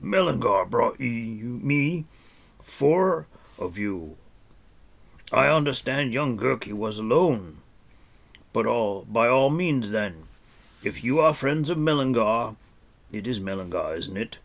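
A man's voice: mid-range voice, lively intonation, vocal fry, pitched above the performer's own voice, exaggerated voice. A man voicing a stern, musing old hermit. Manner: slow, musing, absent-minded. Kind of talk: audiobook character voice.

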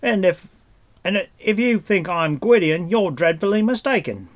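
A man's voice: mid-range voice, animated, a little slow, a bit bright, voice pushed up. Man playing a florid, protesting wandering bard. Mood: alarmed, protesting. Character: frightened, flowery, bowing male wandering bard.

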